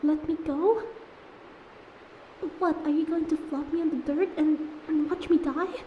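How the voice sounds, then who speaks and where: A woman playing a young captive mermaid, proud but wounded and wary, speaking haltingly and emotionally in a fantasy audio drama. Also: high voice, steady tone, highs cut off. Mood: hurt, accusing. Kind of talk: scripted fantasy audio roleplay. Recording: echoey tank-like reverb, faint ambience noise.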